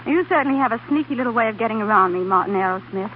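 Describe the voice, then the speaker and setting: An actress playing a devoted, plucky, anxious young wife in an old-time radio drama, with a folksy, coaxing, fretful manner. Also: female voice, a little high, rapid-fire, close to an everyday voice. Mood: fond, chiding. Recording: tinny, noisy vintage radio recording.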